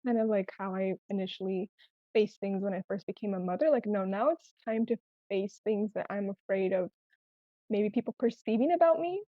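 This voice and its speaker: A woman's voice: medium pitch, normal speed. A woman whose first language was Spanish, telling personal stories casually and candidly as a podcast guest. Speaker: podcast guest, small business owner. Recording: roomy, echoey, slightly dull sound.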